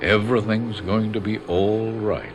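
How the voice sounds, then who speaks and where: An actor playing a calm male surgeon who gently coaxes his patient, in radio drama style. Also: fairly low pitch, a little slow. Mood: soothing. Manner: soothing, gently coaxing. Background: music.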